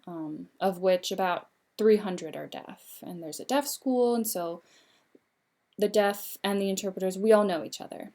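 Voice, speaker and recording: Young mother telling a story in measured phrases. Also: medium pitch, dark tone. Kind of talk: spoken interpretation of a signed personal story.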